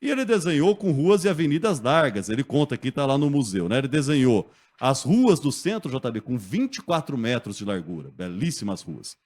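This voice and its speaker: A man telling local history as a guest in a radio studio, lively and conversational, often checking in with the listener. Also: mid-range voice, a little fast. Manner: lively storyteller, acts out quotes, often asks "né?".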